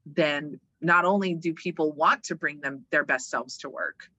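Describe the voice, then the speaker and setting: A woman from Hawaii speaking casually and emphatically as an interview guest, chatty and animated. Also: low-pitched, measured pace, husky, no echo, lo-fi sound. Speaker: interview guest, organizational development worker and voiceover artist.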